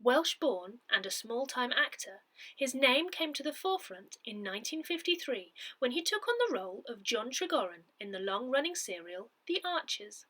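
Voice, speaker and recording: A woman's voice: a little high, very animated, normal speed, pushed and bright, rough recording. A woman reading a newsletter aloud as scripted narration. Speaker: newsletter reader.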